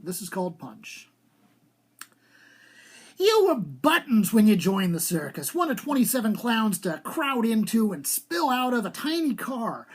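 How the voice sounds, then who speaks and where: A male author at a live reading. He has a theatrical storyteller's delivery and places clear emphasis on his phrases. The voice is that of a writer presenting his own fiction aloud. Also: very high-pitched. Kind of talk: live dramatic literary reading.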